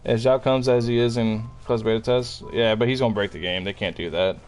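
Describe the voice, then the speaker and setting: A man in his late twenties streaming a game, chatting loosely with viewers and answering chat, with a deep morning voice. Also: fairly even intonation, a little fast, darkish tone, clear, resonant tone. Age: late 20s. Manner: laid-back, rambling, swears casually, answers chat. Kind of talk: casual live-stream chat while gaming.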